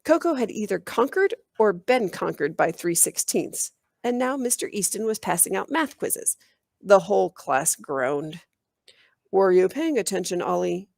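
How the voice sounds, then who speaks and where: A woman reading a children's book aloud in an animated, expressive storytelling style. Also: medium pitch, measured pace, vocal fry, no room sound, clean studio sound. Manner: animated, acts out dialogue.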